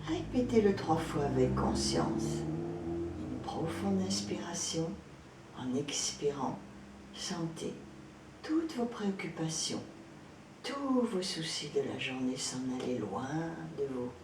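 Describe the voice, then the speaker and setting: A woman leading a guided yoga relaxation as an instructor, speaking calmly and gently with frequent pauses. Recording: roomy echo, distant mic, background noise, rumble.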